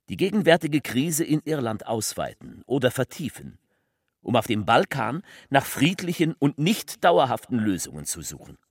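An actor playing a fervent politician giving a theatrical speech, declamatory and emphatic, with deliberate pauses. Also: male voice, mid-range voice, husky, no room sound, studio quality, heavily acted. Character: fervent male politician delivering a rambling, faltering public speech.

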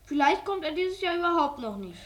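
A shy young boy in a radio drama. The voice is high and subdued, with hesitant, evasive delivery and a downcast air. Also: sing-song, fairly quick, a bit bright. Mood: evasive, uneasy. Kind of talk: German radio play acting.

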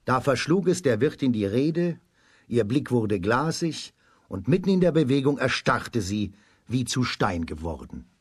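A man narrating a children's radio play as a scripted storyteller, with a husky voice. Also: medium pitch, very animated, a little slow, dry recording, studio quality.

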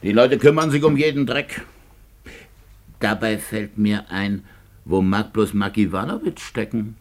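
An actor playing a middle-aged, grumbling, jealous small-town Russian police chief in a 1950s German radio play, gruff and officious with a husky voice. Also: male voice, lowish voice, unhurried, close to an everyday voice. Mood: suspicious. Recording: 1950s radio drama recording.

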